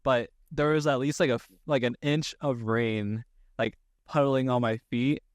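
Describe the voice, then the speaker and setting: A man's voice: mid-range voice, steady tone, normal speed, dry recording, studio quality. A man hosting a casual conversation, talking in meandering, thoughtful phrases. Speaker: podcast host. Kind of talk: casual interview chat.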